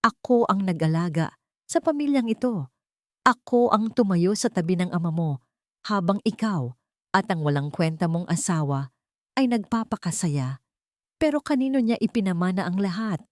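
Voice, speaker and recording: A woman's voice: on the low side, animated, normal speed, darkish tone, no room sound, clean studio sound, close to an everyday voice. A woman voicing a spiteful, greedy, indignant older aunt in an audio drama. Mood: bitter fury. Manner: indignant, self-righteous.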